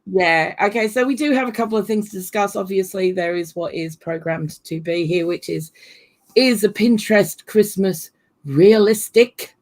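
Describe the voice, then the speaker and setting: A hoarse woman hosts a casual live-stream chat over a video call, talking chattily with frequent laughs. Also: mid-range voice, normal speed, darkish tone. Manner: chatty, laughs often, fillers like "you know". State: losing her voice, hoarse. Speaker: host.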